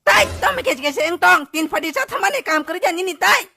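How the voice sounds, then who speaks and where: A woman voicing an excitable, squeaky cartoon character with an animated, exclamatory delivery in a dub. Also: brisk, creaky, dry recording, studio quality, exaggerated voice. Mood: animated bickering. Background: faint music.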